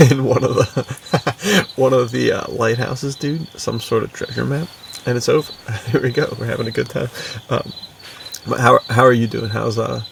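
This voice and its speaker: A man's voice: medium pitch, fairly even intonation, moderate pace, gravelly, recorded from a distance, background noise. An American man co-hosting a podcast in casual, informal chat, using plenty of laid-back slang. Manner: informal, bro-ish, frequent "dude" and "like". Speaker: podcast co-host and adventure fiction author.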